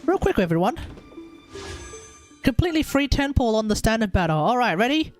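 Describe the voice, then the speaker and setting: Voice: medium pitch, big pitch swings, a little fast, soft background music. A live streamer giving casual gaming commentary, thinking aloud and talking to the chat.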